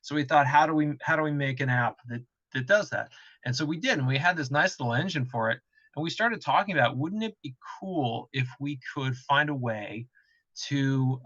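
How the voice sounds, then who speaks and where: Man, bright forceful voice, casual explainer, thinks aloud, game developer panelist, slightly lo-fi call sound. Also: on the high side.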